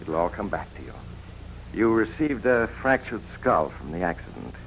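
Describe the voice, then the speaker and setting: A hospital doctor in an old radio drama. His voice is low and measured, with a soothing professional manner. A faint hiss runs under the recording. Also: sing-song, rough recording. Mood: soothing, questioning. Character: calm, reassuring male hospital doctor. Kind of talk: 1940s radio drama acting.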